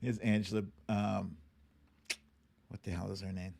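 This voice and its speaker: A man hosting a livestream with casual commentary, speaking in a halting, conversational way with pauses and emphatic stresses. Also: on the low side, level tone, vocal fry, a little mellow, slightly rough. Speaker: host of a livestream show.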